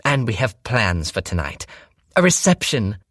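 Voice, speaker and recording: A man voicing an effusive middle-aged mother who gushes excitedly. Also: very deep voice, measured pace, dry recording, studio quality, pitched above the performer's own voice, full character voice. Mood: chatty. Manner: gushing, excited.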